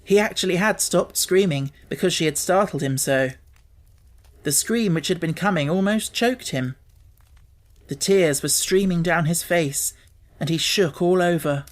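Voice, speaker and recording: Low-voiced woman narrating a children's audiobook. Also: a little slow. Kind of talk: expressive scripted children's storytelling.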